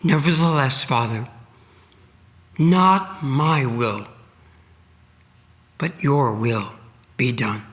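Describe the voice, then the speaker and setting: Man playing anguished praying man, sacred storytelling, pleading, halting, very slow, forceful tone. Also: medium pitch. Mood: resigned surrender. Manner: pleading, halting, drawn-out phrases. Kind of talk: solemn dramatic sacred storytelling.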